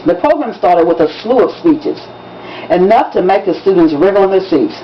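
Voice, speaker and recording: A woman, an author reading from a novel in an expressive, dramatic audiobook style with emphatic storytelling. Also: fairly low pitch, moderate pace. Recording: dull lo-fi recording with background noise.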